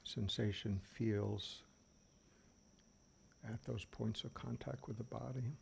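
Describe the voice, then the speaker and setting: A man leading an unscripted guided meditation as a teacher, speaking gently and unhurriedly with long pauses. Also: mid-range voice, creaky. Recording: steady background noise of fans and birds. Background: fans, birdsong and insects.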